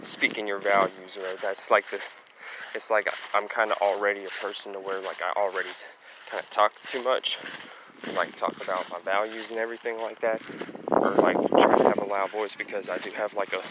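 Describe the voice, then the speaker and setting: A young man with a low, booming voice rambles on, leaning heavily on fillers as he walks. He's recorded on a handheld phone outdoors, and clear street and traffic noise runs underneath him. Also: flat delivery, a little fast. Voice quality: loud, booming voice. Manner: rambling, heavy on fillers like "you know" and "basically". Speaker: astrology vlogger. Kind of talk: casual walking vlog monologue. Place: outdoors, walking near trees and traffic.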